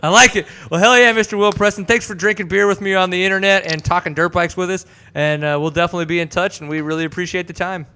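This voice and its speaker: A man's voice: high-pitched, fairly even intonation, fast, few pauses, sharp tone, clean tone. A man in his thirties hosting a casual podcast show, upbeat and swearing casually as he chats and reads sponsors. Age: 30s. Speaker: host. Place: studio set with couch. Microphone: boom mic.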